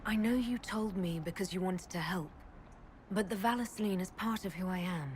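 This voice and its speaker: A woman's voice: medium pitch, normal speed, a little hiss or hum, close to an everyday voice. An actress playing a proud, steadfast elven woman leader who speaks earnestly and directly, in game cutscene dialogue. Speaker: video game character.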